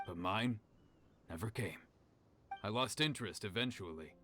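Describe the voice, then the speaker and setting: An actor playing a calm, disciplined young martial artist in a video game, speaking in an earnest, measured way. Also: male voice, fairly low pitch, faint music behind. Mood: reflective. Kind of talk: video game character acting.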